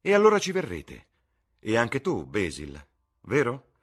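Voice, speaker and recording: A man voicing a witty, languid aristocratic gentleman in an audiobook, airy and mocking, with a husky voice. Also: medium pitch, wildly swooping pitch, a little slow, voice pushed up, heavily acted. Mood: teasing. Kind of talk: audiobook character voice.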